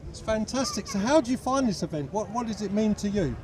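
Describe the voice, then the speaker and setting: High-voiced vlogger, casual and matey. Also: male voice, expressive, a little mellow, a little hiss or hum. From England. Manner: chatty, matey, asks quick questions. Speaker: vlogger and interviewer. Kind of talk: casual chat and on-the-spot interviews. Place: outdoors at a busy seaside event.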